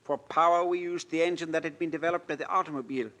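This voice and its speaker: A villainous foreign doctor of aviation in a vintage radio drama. His voice sits high for a man. He lectures in formal, measured, self-important phrases. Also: steady tone, sharp tone. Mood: pompous, lecturing. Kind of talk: old-time radio drama acting.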